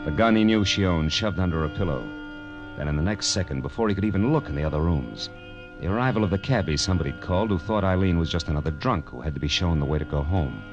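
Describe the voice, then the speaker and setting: A man playing a wisecracking private detective in 1940s radio noir style, narrating and talking in a clipped, sardonic way. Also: sits low. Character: hard-boiled, wisecracking male private detective narrating his case. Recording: old-time radio with loud music.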